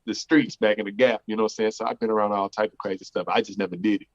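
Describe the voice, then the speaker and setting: A man's voice: on the low side, level tone, racing. A man from Houston introducing himself as an entertainer, upbeat, often saying "you know what I'm saying". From Houston, Texas. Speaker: guest entertainer. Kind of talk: casual interview chat. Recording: dull lo-fi sound.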